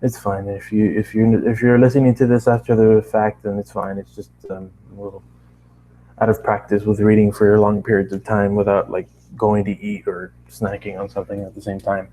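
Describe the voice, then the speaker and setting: A man's voice: on the low side, little pitch movement, normal speed, mellow, slight background noise, poor audio. A tired man reading a story aloud as an amateur narrator, often stumbling and rereading phrases. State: tired, running out of steam. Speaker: amateur fan-fiction reader.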